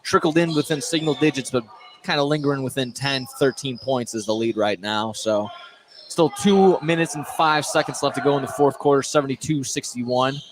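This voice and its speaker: A man doing live play-by-play of a high school basketball game on radio, with casual, loose phrasing and repeated words. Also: normal speed. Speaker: play-by-play sports announcer. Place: indoor high school gym during a game. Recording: live gym broadcast, clear background noise.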